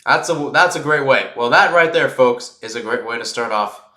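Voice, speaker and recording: A man calling live American football play-by-play in an excitable radio style, mixing big calls with casual asides. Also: mid-range voice, moderate pace. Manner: excitable, calls surging plays loudly then drops back to casual asides. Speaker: play-by-play sports commentator. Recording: roomy echo on the voice.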